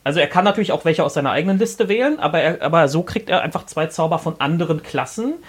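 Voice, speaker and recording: A man with a cold speaks in a husky, fairly high voice. He explains tabletop game rules in casual podcast chat, stammering and restarting his sentences as he goes. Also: lively intonation, quick pace. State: has a cold, voice cutting out. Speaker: podcast co-host.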